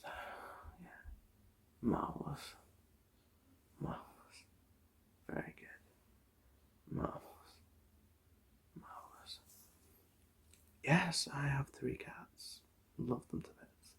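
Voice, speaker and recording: Male ASMR examiner, gentle and reassuring; very gravelly, breathy half-whisper with lively intonation. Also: a little high. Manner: gentle, repetitive reassurance, chatty asides. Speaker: ASMR roleplay host playing an eye examiner. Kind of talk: soft-spoken whispered ASMR medical roleplay.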